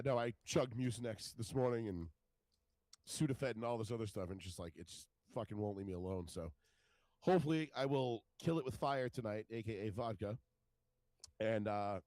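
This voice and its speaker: A man's voice: fairly low pitch, a little fast, a little mellow, no echo, poor audio. A man in his forties with a stuffed-up, nasal voice, rambling crudely and mocking himself in a casual chat as co-host. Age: 40s. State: stuffed up, congested. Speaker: podcast co-host.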